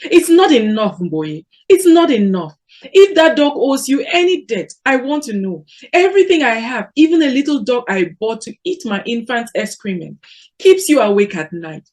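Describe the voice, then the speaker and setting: A woman reading aloud, voicing a quarrelsome young village wife who scolds in a shrill, sneering voice. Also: lively intonation, slow pace, a little mellow. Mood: shrill quarrel. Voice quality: shrill, sneering sing-song.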